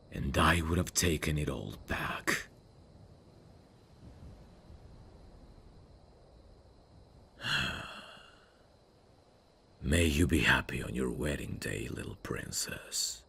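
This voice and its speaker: A man playing a brooding evil prince, tender and sorrowfully noble, speaking in a slow, formal, wistful way for an intimate ASMR fantasy roleplay. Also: a bass voice, gravelly, sharp tone, breathy edge, exaggerated voice. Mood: grieving, loving.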